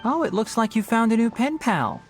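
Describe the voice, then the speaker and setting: A man playing a dry, gently teasing mentor in a video game, with a wry manner. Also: extremely high pitch, wildly swooping pitch, moderate pace, faint music behind, heavily acted. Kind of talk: scripted game dialogue acting.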